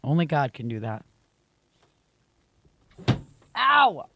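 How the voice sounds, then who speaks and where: A young woman co-hosting a morning radio show, slightly raspy, playful and teasing in quick banter. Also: low voice, huge pitch swings, noisy background. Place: radio studio. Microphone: studio microphone.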